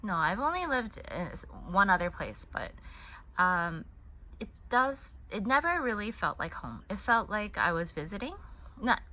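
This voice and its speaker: A woman's voice: mid-range voice, very animated, measured pace, sharp tone, rough recording. A woman in her thirties who grew up in the United States and spoke Korean first, chatting casually at home while she crochets, rambling and often restarting her sentences. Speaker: crochet channel host. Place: home in Hong Kong.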